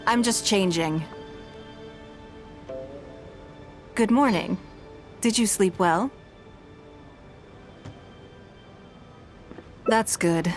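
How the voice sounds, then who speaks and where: An elegant, wealthy businesswoman of a video game, acted by a woman, speaks with refined composure. Her medium-pitched voice is measured and articulate, courteous with a shrewd streak. Music plays behind her. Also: natural voice. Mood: gracious, relaxed. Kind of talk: scripted video game character dialogue. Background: background music.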